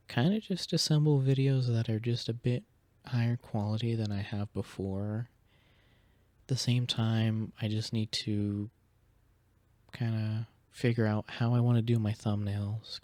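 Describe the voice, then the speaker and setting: Soft-spoken deep-voiced man, close mic. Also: dry recording. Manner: relaxed, rambling, slips into whispers. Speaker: ASMR creator. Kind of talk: soft-spoken ASMR chat. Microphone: sensitive close microphone.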